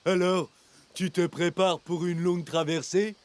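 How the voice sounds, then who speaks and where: A man's voice: high-pitched, fairly even intonation, unhurried. A man voicing a sly, teasing villain sidekick critter in a cartoon dub. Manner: mocking, sneering laughs. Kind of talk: French cartoon dubbing. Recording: music under the voice.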